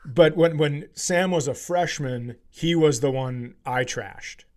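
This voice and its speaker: A man's voice: on the high side, slow pace, darkish tone. A man hosting a comedy podcast, telling stories in a loose, sweary, casual chat. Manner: loose, swearing, laughs readily. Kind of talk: casual comic storytelling chat.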